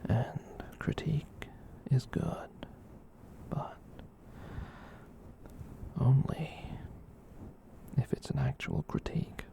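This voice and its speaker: A performer voicing a gentle giant catboy in a whispered ASMR roleplay, soothing and rambling, with hesitant pauses. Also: mid-range voice, very animated, exaggerated voice. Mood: gentle, reassuring. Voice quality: breathy whisper. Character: gentle giant catboy, soothing, reassuring, shyly self-conscious.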